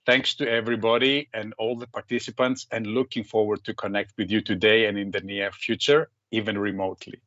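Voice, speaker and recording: A man's voice: lowish voice, little pitch movement, fairly quick, hardly any pauses, fairly bright tone, no room sound. A man from Greece giving an earnest, prepared self-introduction as a researcher over a video call. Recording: dull lo-fi sound.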